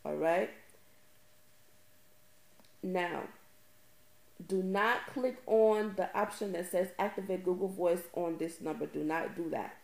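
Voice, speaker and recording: Low, mellow-voiced woman tutorial presenter, roomy sound. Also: takes it slowly, creaky, pauses often. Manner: emphatic, repeats key phrases for stress. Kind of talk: informal step-by-step screen tutorial narration.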